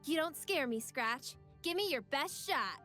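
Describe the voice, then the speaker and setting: Game casting: wholesome young pop singer champion, very high voice, animated and upbeat, music behind. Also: female voice, measured pace, exaggerated voice. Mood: playful, confident. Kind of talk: video game voice acting.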